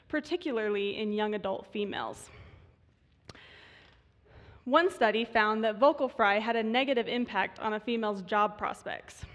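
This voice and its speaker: Young female speaker with vocal fry, slightly high-pitched, stage talk in a reverberant hall. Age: 20s. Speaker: student voice researcher giving a talk. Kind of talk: prepared short stage talk.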